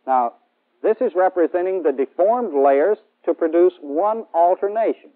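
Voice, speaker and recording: A man's voice: high-pitched, lively intonation, moderate pace, phone-quality sound. An American man in his thirties giving a formal, methodical lecture as a military instructor in a 1960s training film. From United States. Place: indoor studio set. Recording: band-limited old 1960s film soundtrack.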